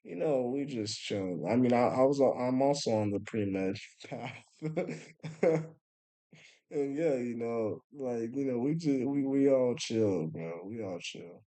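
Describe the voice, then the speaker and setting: A man's voice: medium pitch, lively intonation, slow pace, warm tone. A young man telling a rambling story in a laid-back, casual way, filling it with "bro" and "you know" and often trailing off into a mumble. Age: young adult. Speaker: vlogger.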